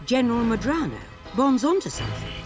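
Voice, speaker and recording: A woman playing a stern older intelligence chief in a video game, crisp and commanding. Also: fairly high pitch, sing-song, fairly quick, music in the background. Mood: insistent. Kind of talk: video game cutscene acting.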